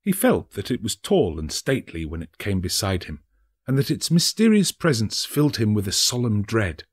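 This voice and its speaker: A man narrating a classic ghost story as a dramatic audiobook, theatrical and deliberate. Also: fairly low pitch, sing-song, breathy edge. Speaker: narrator, actor.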